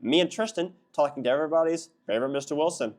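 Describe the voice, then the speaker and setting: A man's voice: a little high, rapid-fire, a little mellow. A teenage boy hosting a school video show, upbeat and playful, hyping up his guests. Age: teens. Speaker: student host of a school show. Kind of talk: casual school news chat.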